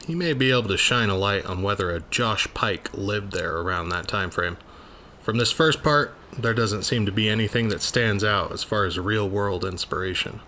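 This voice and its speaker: A man's voice: on the low side, normal speed, sharp tone, a little hiss or hum. A man playing a curious police officer, narrating a scripted audio drama in the first person. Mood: curious, musing. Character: curious police officer reading an old journal aloud.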